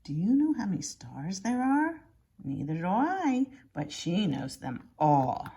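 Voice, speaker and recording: Animated, husky woman reading a storybook aloud. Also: mid-range voice, a little slow. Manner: expressive, exaggerated emphasis with dramatic hushes. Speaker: elementary school teacher reading aloud. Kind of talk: animated children's picture book storytelling.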